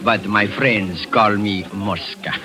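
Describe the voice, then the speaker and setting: A man's voice: fairly low pitch, steady tone, takes it slowly. An actor playing a fussy, overly polite Spanish-speaking little man in a 1940s radio play, with a Spanish accent. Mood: fawning. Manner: overly polite, flowery, nervous. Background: music.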